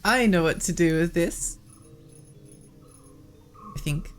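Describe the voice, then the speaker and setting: A woman's voice: fairly low pitch, takes it slowly. A woman livestreaming a video game, chatting casually and expressively, her voice worn and prone to cracking. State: tired, cracking voice from losing it. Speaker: live game streamer. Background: game music.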